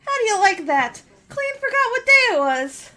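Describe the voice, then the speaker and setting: An actress playing a chatty, folksy village housewife with a rural American accent in a radio play. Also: female voice, very high-pitched, sing-song, normal speed, pushed and bright, full character voice. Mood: flustered, cheerful. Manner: gossipy, folksy. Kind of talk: radio drama acting.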